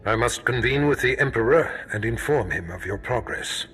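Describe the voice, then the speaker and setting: A man's voice: mid-range voice, lively intonation, moderate pace, a bit bright, soft background music, natural voice. A man playing a commanding, sinister Sith master in a video game, speaking in a theatrical, pompous, approving way. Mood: ominous. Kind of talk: dramatic video game acting.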